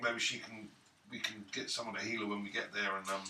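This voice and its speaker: A blunt, matter-of-fact player speaks with a very bright, sharp tone. His voice comes from a distant mic in an echoey room, with faint background noise. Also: on the low side, flat delivery, quick pace. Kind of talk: casual tabletop game chat.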